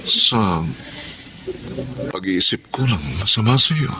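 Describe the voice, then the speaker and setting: An actor playing a jealous, insecure Filipino husband in a radio drama, with dramatic delivery. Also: male voice, lowish voice, lively intonation, takes it slowly, noisy background. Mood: remorseful, halting. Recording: loud music over the voice.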